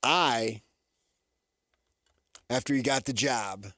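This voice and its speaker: A man's voice: medium pitch, takes it slowly, pushed and bright, dry recording. Casual, folksy man hosting sports radio, dry sound. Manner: conversational, folksy, peppers talk with rhetorical questions.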